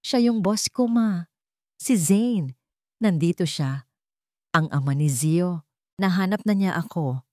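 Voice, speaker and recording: A woman's voice: fairly low pitch, slow, long pauses, a little mellow, dry recording, studio quality. A woman voicing a proud, desperate young mother in a radio-style story, speaking with firm emphasis. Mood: tearful fear.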